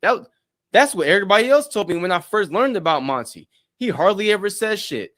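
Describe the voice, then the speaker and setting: A man in a furious streaming rant, loud and profane, taunting his targets. His voice sits high for a man, and he keeps up a relentless, mocking push. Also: fairly quick. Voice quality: deep voice in his own view. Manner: profane, loud, taunting, mocks others' monotone. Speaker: streamer in a played clip. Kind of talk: angry rant.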